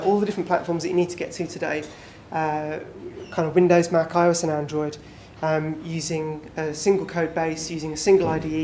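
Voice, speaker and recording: A man giving a conversational conference presentation to a small group, with lots of fillers. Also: fairly high pitch. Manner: relaxed, filler-heavy, conversational. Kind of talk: conference talk. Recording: echoey room with faint background noise.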